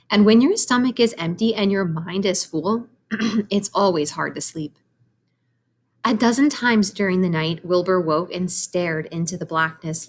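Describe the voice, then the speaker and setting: A woman reading a children's book aloud like a teacher, animated and explanatory, with asides to young listeners. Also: lowish voice. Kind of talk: expressive storybook read-aloud with asides to children.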